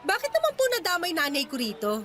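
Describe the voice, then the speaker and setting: A woman playing a feisty young market fish vendor in a Tagalog radio drama, persuasive and quick to talk back. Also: very high voice, huge pitch swings, fairly bright tone, soft background music, heavily acted. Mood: indignant.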